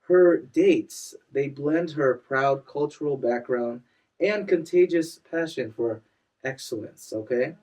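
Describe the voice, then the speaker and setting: A young man hosting a casual interview show, energetic and quick to hype up his guest and laugh. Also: medium pitch, recorded from a distance. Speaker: podcast host.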